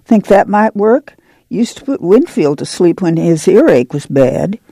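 A man voicing a gentle, practical farm mother in an audiobook, with homely rural dialect. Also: sits high, big pitch swings, a little slow, no room sound, pitched above the performer's own voice, exaggerated voice. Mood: gentle, tentative.

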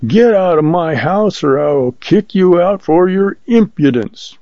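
Blustering drunken farmer father for a storybook, done by a male narrator, raised pitch, slightly muffled sound. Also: animated, unhurried, darkish tone, dry recording. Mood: outraged. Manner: indignant blustering. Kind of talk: audiobook character voice.

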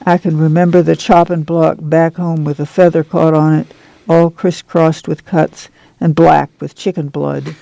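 A man voicing a tired, steadfast farm mother with a soft rural drawl, in his own creaky, highish voice. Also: little pitch movement, measured pace, no room sound, low-quality recording. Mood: sad.

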